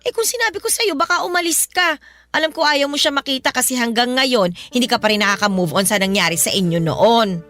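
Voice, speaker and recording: A woman's voice: a little high, lively intonation, fairly quick, fairly bright tone, no echo. An actress playing a middle-aged, meddling mother with a bossy, breezy manner in a Filipino radio drama. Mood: defiant, brisk. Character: meddling, brisk mother on her birthday. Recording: music bed under the voice.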